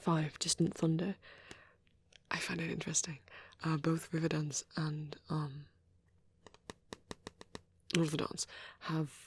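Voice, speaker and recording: A man voicing a shy, soft-spoken vampire boy in an ASMR roleplay, hesitant and breathy, often slipping into a whisper. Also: on the high side, heavily acted. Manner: hesitant, halting, gently spoken. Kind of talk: ASMR, soft rambling and reading aloud.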